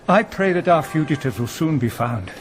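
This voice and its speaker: Man acting higher-voiced, worried British monastic superior. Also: expressive, noisy background, natural voice. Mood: concerned. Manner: formal, concerned, deliberate. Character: courteous, worried, cautious male medieval monastic superior. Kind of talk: British radio drama acting.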